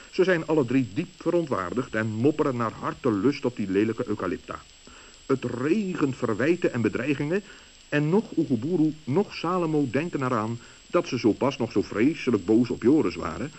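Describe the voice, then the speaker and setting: A man's voice: mid-range voice, a little mellow. A man reading scripted narration for a children's radio story. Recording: dull old radio recording, faint hiss.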